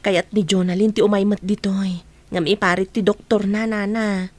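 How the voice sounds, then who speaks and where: A woman's voice: medium pitch, level tone, normal speed, dry recording, natural voice. A middle-aged woman playing a kindly, helpful auntie in an audio drama, with an Ilocano Filipino accent. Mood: kindly, coaxing.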